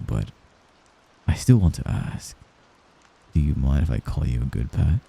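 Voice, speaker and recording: Man acting very deep, murmuring doting mafia heir. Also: creaky, breathy edge, full character voice, poor audio. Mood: tender, encouraging. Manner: soft-spoken, halting, apologetic while giving orders. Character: young mafia heir, gentle, hesitant, doting, possessive friend. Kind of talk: intimate ASMR roleplay.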